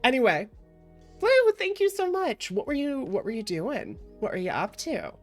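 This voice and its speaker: A woman hosting a livestream, chatting casually and playfully with viewers in an expressive way over background music. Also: sits high, normal speed. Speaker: streamer, variety VTuber and host.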